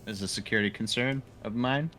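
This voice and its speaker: A man's voice: on the low side, brisk, fairly bright tone. A man playing a tabletop game, chatting casually and hesitantly as he searches for words. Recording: clearly noisy tabletop session recording.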